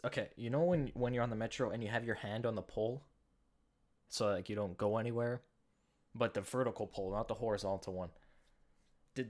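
A young man with a slight lisp, hosting a solo podcast and rambling through jokey, self-deprecating stories. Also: medium pitch. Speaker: podcast host. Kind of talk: casual solo podcast monologue.

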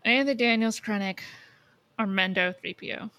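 A woman's voice: mid-range voice, moderate pace, bright, forceful tone. A woman co-hosting a podcast in casual chat, with a blunt, opinionated way of talking. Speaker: podcast co-host.

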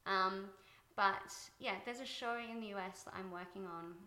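A soft-spoken woman being interviewed about her directing work, thoughtful and pausing with fillers. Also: mid-range voice, roomy sound, a little hiss or hum. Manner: thoughtful, many fillers and restarts. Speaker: guest, TV director. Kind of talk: reflective interview talk.

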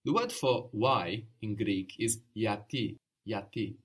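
A man's voice: fairly low pitch, slow, breathy edge. A man teaching a language lesson, patiently prompting his student with questions and brief praise.

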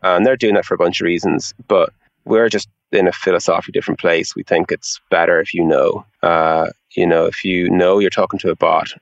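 A low-pitched man with a gravelly edge talks through his thinking as an interview guest. He is informal and explanatory, leaning on casual filler phrases. The recording is dry and a bit dull. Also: steady tone, brisk, no echo. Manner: informal, explanatory, frequent "you know" and "like". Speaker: interview guest, tech product executive.

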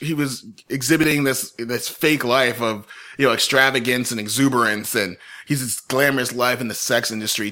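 A man's voice: medium pitch, sharp tone. A male podcast host telling a story in casual chat, joking and laughing often.

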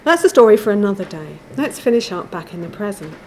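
A woman giving a measured, formal academic lecture from a prepared text. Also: medium pitch, big pitch swings, slight background noise. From England.

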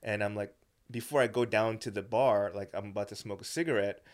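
A man hosting a podcast, telling stories in casual chat with a lot of swearing and animated energy. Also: on the low side, brisk. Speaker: podcast host. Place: indoor studio room.

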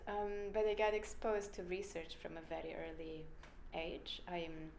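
A woman's voice: lowish voice, level tone, moderate pace, creaky, a little mellow, echoey room, slight background noise. A woman with an Italian accent, a researcher explaining her work in an emphatic, conversational interview. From Italy. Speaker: brain researcher guest.

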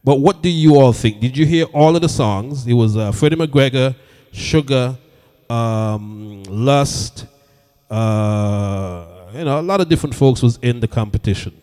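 A man hosting a reggae radio show, chatting casually with listeners, giving shout-outs and asking for their views. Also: mid-range voice. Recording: long reverb with music underneath. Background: reggae music playing.

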